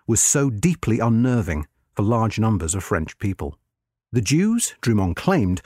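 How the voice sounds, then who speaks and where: A man narrating a scripted history article as a reader, with measured, emphatic phrasing and deliberate stresses. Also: on the low side, darkish tone, slightly rough, no echo, clean studio sound.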